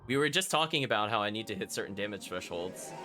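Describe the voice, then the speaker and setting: A man's voice: fairly low pitch, expressive, fast talker. A man live-streaming a video game, thinking aloud and chatting to his viewers as he plays. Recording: music playing behind the voice. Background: video game music.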